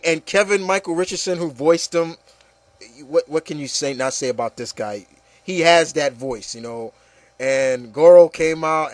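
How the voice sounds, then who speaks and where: A man's voice: a little high, no echo. A man hosting a casual panel chat about movies, talking in a rambling, enthusiastic way and often saying "you know". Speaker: host of an opinion show.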